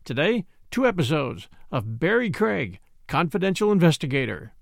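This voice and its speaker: A man's voice: a little high, sing-song, moderate pace, vocal fry, dry recording, clean studio sound. A man introducing a show as its podcast host.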